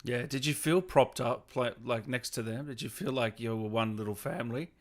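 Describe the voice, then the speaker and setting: Male livestream host, medium pitch, informal conversational read of viewer messages and questions. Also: moderate pace. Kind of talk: casual chat, reading out viewer comments. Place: remote online video call.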